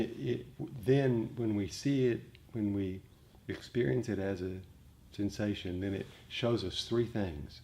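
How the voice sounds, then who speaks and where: Man, lowish pitch, soft-edged tone, meditation teacher, halting reflective delivery, some noise behind. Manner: halting, reflective, rephrases and repeats. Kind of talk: informal dharma talk to a group. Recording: noticeable background noise.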